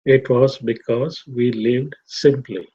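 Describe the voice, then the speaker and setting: A middle-aged man from Tanzania giving a reflective talk to a fellowship, measured and repetitive. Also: mid-range voice, fairly even intonation, warm tone, slightly rough. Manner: slow, measured, repeats phrases. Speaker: fellowship speaker. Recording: dull lo-fi recording.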